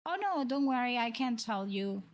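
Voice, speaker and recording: A woman's voice: a little high, lively intonation, normal speed. A woman streamer with a Dominican accent chatting casually with viewers, rambling with frequent restarts and repeated words. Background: music.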